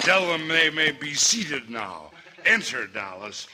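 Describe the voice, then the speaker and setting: An actor playing a jovial, hard-drinking older frontier doctor, theatrical and grandiloquent, in a radio western. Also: male voice, fairly high pitch, sing-song, slow pace. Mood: jovial pomposity. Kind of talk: old-time radio western acting. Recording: noisy old radio recording.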